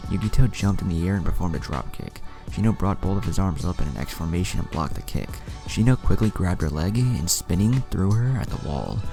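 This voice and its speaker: A man's voice: low voice, creaky. A man narrating an anime fan story, reading out both the action and the dialogue.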